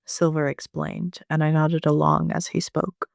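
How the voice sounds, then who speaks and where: A man's voice: a little high, monotone, unhurried, creaky, no room sound, clean studio sound. A man reading scripted first-person story narration as an amateur author.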